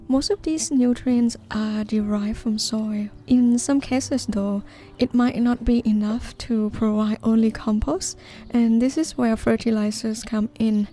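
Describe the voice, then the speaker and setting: A woman from northeastern Thailand, gently narrating a gardening video in a measured, explanatory way. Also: on the high side. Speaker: gardening vlogger. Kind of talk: calm scripted voice-over narration.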